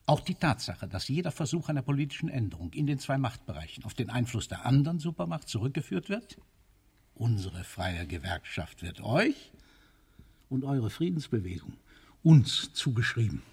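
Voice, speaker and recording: A husky, imperious military ruler. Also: male voice, medium pitch, sing-song, moderate pace, darkish tone, heavily acted. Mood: coolly argumentative. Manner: commanding, coolly argumentative, declamatory. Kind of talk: radio play acting.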